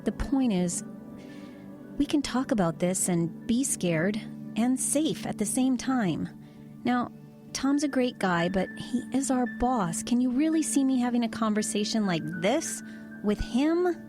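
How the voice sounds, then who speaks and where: A woman's voice: fairly high pitch, expressive, a little slow, over music. A woman playing a level-headed truck driver in an eerie audio drama, talking in an everyday conversational way. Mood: confiding, reasoning. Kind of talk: audio drama dialogue and narration.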